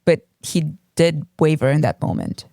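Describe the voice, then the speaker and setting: A woman's voice: sits low, huge pitch swings, moderate pace, creaky, mellow, no echo, studio quality. A woman from Russia with a Russian accent, co-hosting a casual book podcast and talking hesitantly, with many ums and restarts. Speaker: podcast co-host. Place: home room with a cat.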